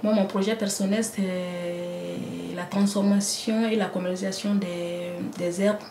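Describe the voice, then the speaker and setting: A woman from Mali telling her story of exile, hesitant with many pauses. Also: medium pitch, fairly even intonation, mellow. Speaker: interviewee, project founder. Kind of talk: personal testimony. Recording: roomy with faint noise.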